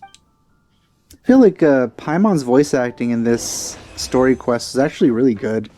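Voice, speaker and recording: A man giving casual, low-key commentary as he plays through a video game. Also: expressive, a little slow, dark tone, a little hiss or hum. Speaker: let's player.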